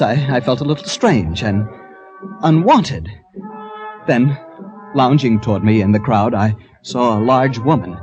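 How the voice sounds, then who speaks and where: Male actor as prim, nervous traveler-narrator in a radio mystery, lively swooping delivery, hesitant, over dramatic music. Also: mid-range voice, close to an everyday voice, low-quality recording. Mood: uneasy. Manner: hesitant, stammering, slightly prim. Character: fussy, anxious American male traveler telling his misadventure.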